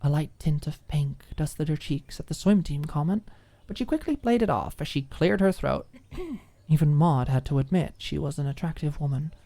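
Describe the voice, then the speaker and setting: A woman's voice: low voice, animated, normal speed, warm tone, no echo. A woman narrating a scripted story in an ASMR audiobook style.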